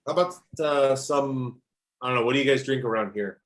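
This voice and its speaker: A man's voice: mid-range voice, a little fast, close to an everyday voice. A man playing a cocky, flirtatious macho soldier with casual swagger in an amateur table read. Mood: flirty, casual.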